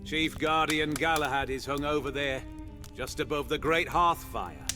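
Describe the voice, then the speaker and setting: A man's voice: a little high, normal speed, natural voice. A man playing a roguish, irreverent monster hunter knight in a fantasy audio roleplay, talking in a theatrical, conspiratorial way. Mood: welcoming. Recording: long hall reverb under music.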